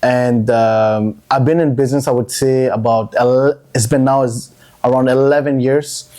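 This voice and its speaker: A man's voice: mid-range voice, steady tone, normal speed, mellow. A man from Montreal, a podcast guest and entrepreneur, telling his story in a chatty, looping way that repeats words. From Montreal, Canada. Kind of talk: casual podcast interview.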